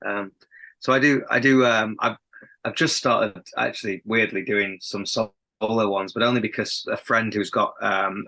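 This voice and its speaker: A man's voice: fairly low pitch, sharp tone, poor audio. A young man with a faint Sheffield accent, a band's lead singer chatting as a guest in a casual podcast interview, rambling and laughing often. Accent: Sheffield, softened. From England.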